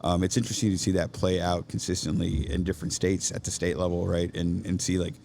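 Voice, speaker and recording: A man's voice: low voice, level tone, fast talker, creaky, a little mellow, slight background noise. A man being interviewed about education on a podcast, talking earnestly and checking in with "right?" as he thinks aloud. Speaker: podcast guest, education nonprofit leader. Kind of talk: casual interview chat. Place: coffee shop.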